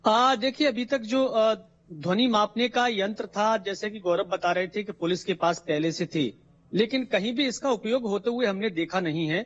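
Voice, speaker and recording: A man's voice: high-pitched, normal speed, fairly bright tone. A male news correspondent giving an unscripted live report with frequent hesitations, over a dull lo-fi link.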